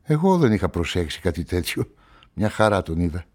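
An actor playing a guarded amateur sleuth, telling a crime story in measured, reflective radio-play narration and dialogue. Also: male voice, low-pitched, big pitch swings, darkish tone.

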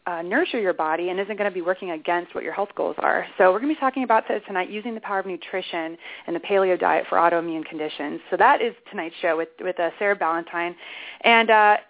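A woman's voice: on the low side, quick pace. A woman hosting a health radio talk show, chatting loosely with many fillers and restarts. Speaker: radio show host, clinician. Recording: tinny phone-like sound.

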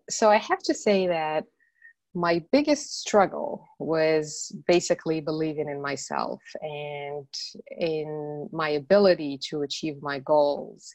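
Low-voiced woman from Morocco, earnest. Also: dry recording. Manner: earnest, frequent "you know" fillers. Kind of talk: interview guest telling her story.

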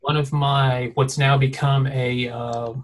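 A man's voice: medium pitch, level tone, takes it slowly, slight background noise. A man in a casual podcast chat, telling a rambling story full of fillers and restarts. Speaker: design mentor guest.

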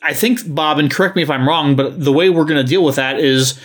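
A man's voice: on the high side, a little fast, a bit bright. Frank, sweary male podcast host. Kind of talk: podcast talk, addressing listeners.

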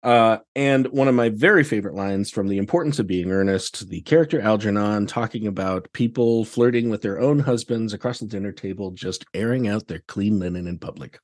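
A man's voice: on the low side, a little fast, hardly any pauses. A man chatting casually as a podcast guest, enthusiastic and given to acting out little asides. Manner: enthusiastic, animated storytelling with acted-out asides. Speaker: podcast guest, theatre director. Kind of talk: casual chat.

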